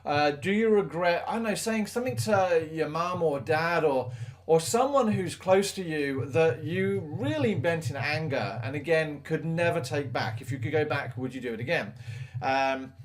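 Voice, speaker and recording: A man's voice: fairly high pitch, animated, moderate pace. A man, a maths teacher giving students earnest advice in a conversational, reflective talk full of fillers.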